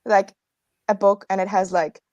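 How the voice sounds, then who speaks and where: A woman's voice: medium pitch, little pitch movement, normal speed, darkish tone, no room sound, lo-fi sound. Young woman, dry close sound, rambling chat. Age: young adult. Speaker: panelist in a writers' livestream. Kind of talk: casual chat.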